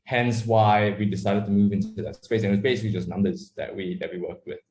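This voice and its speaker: A man who was born in Malaysia and raised in Australia, a startup founder answering interview questions in a relaxed, rambling way with many fillers. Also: on the low side, fast talker, slight background noise. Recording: echoey room.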